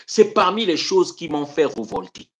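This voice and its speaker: A man from Congo-Brazzaville hosts a live stream and talks politics to his viewers. His delivery is impassioned and rhetorical, with a very lively pitch that swings from loud declaiming to low muttered asides. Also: normal speed. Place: home in Europe.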